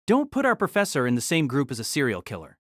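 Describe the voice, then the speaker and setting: A woman's voice: a bass voice, huge pitch swings, quick pace, no echo, studio quality, pitched below the performer's own voice, exaggerated voice. A woman voicing a fierce, indignant young male student with a scolding manner in a story.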